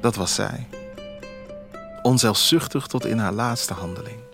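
A man narrating a scripted first-person audio drama in a measured, reflective storytelling manner. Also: lowish voice, creaky. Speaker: author reading own story. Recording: music under the voice. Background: music bed.

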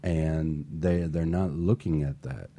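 A man's voice: a bass voice, fairly even intonation, slow, dark tone. A man hosting a motivational talk show, speaking earnestly and passionately, often repeating words as he starts a thought. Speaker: host.

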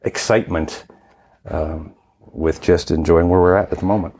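A man's voice: sits low, steady tone, normal speed, darkish tone, breathy edge. A man co-hosting a podcast in casual discussion, telling anecdotes and steering toward a wrap-up. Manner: storytelling, wraps up discussion.

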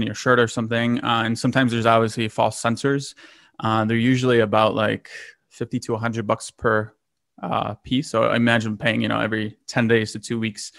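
A man from Lithuania in his late twenties talks through a long personal story in a loose, rambling way, full of fillers and restarts. His voice is mid-pitched. The sound is dry and close. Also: fairly bright tone, no echo. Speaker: guest. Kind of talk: casual conversation.

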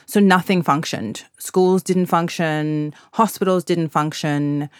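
A woman from East Africa narrating a scripted documentary as host, in a measured, serious manner. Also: lowish voice, fairly even intonation, clear, resonant tone, no echo, clean studio sound. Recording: music bed behind narration. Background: music.

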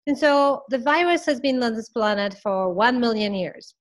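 A woman speaking as an expert guest on a podcast, explaining in a warm manner, her voice slightly scratchy, clearing her throat now and then. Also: on the high side, animated, moderate pace. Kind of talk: podcast interview.

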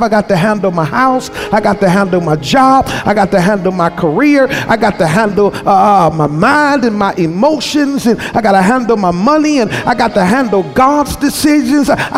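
A man preaching a sermon in an emphatic, repetitive cadence that sometimes slides into half-singing. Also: very high voice. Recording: reverberant hall, faint music behind.

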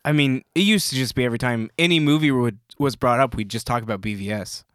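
A man's voice: medium pitch, fast talker, fairly bright tone, clean tone, dry recording, studio quality. A young man co-hosting a podcast, chatting casually, rambling with restarts and frequent laughs. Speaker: podcast co-host.

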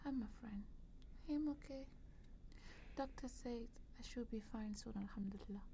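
A woman's voice: fairly high pitch, normal speed, mellow, slight background noise, lightly acted. An actress with a Senegalese accent playing a weary, worried, devout mother and grandmother in an audio drama. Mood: frail, worried. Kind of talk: radio drama acting.

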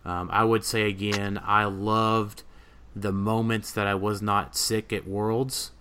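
Bright-voiced man hosting a podcast, background noise. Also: fairly low pitch, little pitch movement, slow pace. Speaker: podcast host and competitive gamer. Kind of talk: casual podcast chat. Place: voice recording for a group podcast.

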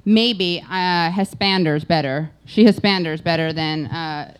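A woman's voice: on the low side, measured pace, a loud crowd. A woman co-hosting a live comedic panel show in front of an audience, trading sardonic jokes. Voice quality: sardonic, joking.

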